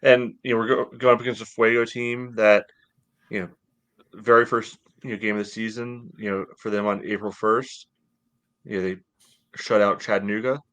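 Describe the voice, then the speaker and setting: A man's voice: lowish voice, flat delivery, normal speed, a bit bright. An American man in his thirties co-hosting a casual sports podcast over a video call, talking conversationally. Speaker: podcast co-host. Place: home room, indoors, on a video call.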